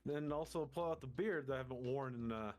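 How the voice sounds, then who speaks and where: A man who is a regular on a podcast, chatting casually with deadpan jokes. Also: mid-range voice, a little fast. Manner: deadpan joking. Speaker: show regular. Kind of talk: casual chat.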